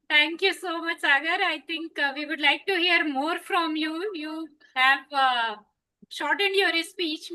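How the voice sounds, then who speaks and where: A woman's voice: sits high, sharp tone, poor audio. A woman from India serving as a jury member in an online session, cheerful and laughing often.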